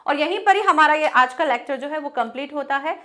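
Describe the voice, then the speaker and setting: A woman teacher giving an online class, dictating answers slowly while she writes and explaining in Hindi mixed with English. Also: high-pitched, animated, bright, forceful tone.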